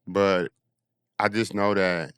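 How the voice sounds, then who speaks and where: Fairly low-voiced man, slangy and emphatic. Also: level tone, slow, a bit bright, smooth, dry recording, studio quality. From West Oakland, California. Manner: slangy, emphatic, repeats himself. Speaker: guest artist and DJ. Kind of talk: casual chat.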